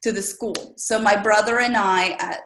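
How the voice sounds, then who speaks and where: A middle-aged woman, Spanish her first language, speaks as an interview guest. She shares her story earnestly and reflectively, pausing often. Her voice carries a noticeable roomy echo. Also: medium pitch, steady tone, fairly bright tone, a little hiss or hum. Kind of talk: casual interview conversation.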